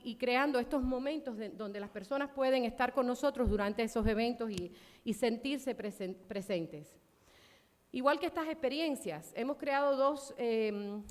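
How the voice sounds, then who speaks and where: Woman giving a fired-up conference talk, kinda high voice, lots of ums, big echoey hall. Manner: passionate, emphatic; many fillers and restarts. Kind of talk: conference presentation. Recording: long hall reverb.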